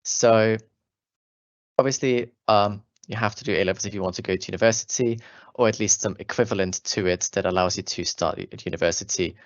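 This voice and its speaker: Low-voiced Austrian man giving a talk. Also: a little fast, no room sound, lo-fi sound. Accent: Austrian German. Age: 20s. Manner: earnest, friendly, with fillers and restarts. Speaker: doctoral student speaker. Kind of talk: school conference talk.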